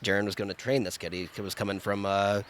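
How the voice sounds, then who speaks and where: A middle-aged man with a lowish voice, a chef from Arizona, talking in a blunt, wry way and swearing often. Faint noise hums behind him. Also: flat delivery, fast, a bit bright. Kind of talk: casual podcast chat.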